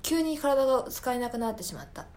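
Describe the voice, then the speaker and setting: A woman's voice: on the high side, big pitch swings, quick pace, darkish tone. A woman podcast host talking casually on her own, explaining things thoughtfully and searching for her words as she goes. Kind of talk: casual solo recommendation talk.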